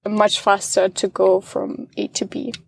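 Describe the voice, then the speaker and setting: Woman with a gravelly voice. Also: mid-range voice, a little slow, slight background noise. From Austria. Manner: relaxed, friendly, hesitant with fillers. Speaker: interview guest, English student. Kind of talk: casual interview chat.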